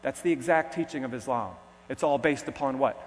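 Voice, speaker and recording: A man's voice: mid-range voice, a little fast, slight background noise. A man preaching a sermon, putting rhetorical questions to his listeners and often checking in with "okay?". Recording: long hall reverb.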